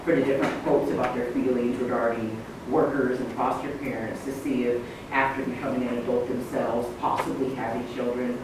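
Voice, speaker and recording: A man's voice: medium pitch, level tone, fairly quick. A man offering informal feedback from the audience in a lecture room. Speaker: audience member. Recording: very echoey room, distant, noisy.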